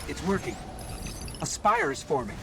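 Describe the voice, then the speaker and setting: A man voicing a small, earnest, curious robot companion in a video game, with an expressive, urgent delivery. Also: high voice, normal speed, creaky, heavy background noise, full character voice. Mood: urgent, eager. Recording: game music behind the voice. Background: video game soundtrack.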